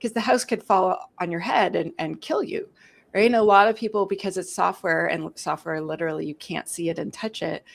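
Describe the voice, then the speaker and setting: Gravelly-voiced woman explaining chattily. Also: fairly low pitch, brisk. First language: English. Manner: talkative, animated storytelling with laughs. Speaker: host of a security live stream. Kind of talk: casual explanatory chat.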